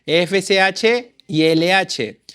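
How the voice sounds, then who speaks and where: A man's voice: high-pitched, drawn-out words, a bit bright, clear, resonant tone. A man tutoring medical students, walking through exam questions and answering his own questions.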